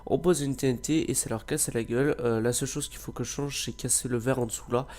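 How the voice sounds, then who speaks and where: A man's voice: medium pitch, fairly even intonation, fast talker, a little mellow. A man with a cold giving casual, rambling gameplay commentary, hesitating often with filler sounds. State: has a cold. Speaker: gameplay video creator.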